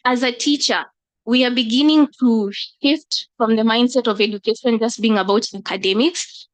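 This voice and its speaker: A woman speaking as a teacher on a panel, measured and listing points. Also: on the high side, fairly bright tone. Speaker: teacher or school leader. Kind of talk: panel discussion answer.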